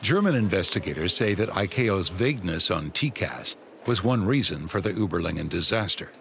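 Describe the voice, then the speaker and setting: A man's voice: low-pitched, lively intonation, moderate pace, creaky, a bit bright, a little hiss or hum. A man reading scripted, dramatic narration as the narrator of a documentary. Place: voice-over booth. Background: background score music.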